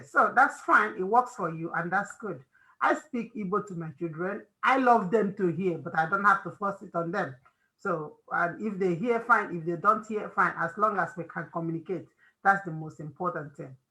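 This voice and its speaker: A woman's voice: on the low side, moderate pace, bright, forceful tone, low-quality recording. A woman with a Nigerian accent hosting an informal faith-based advice talk, emphatic and repeating phrases for stress. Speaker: host of a family discussion.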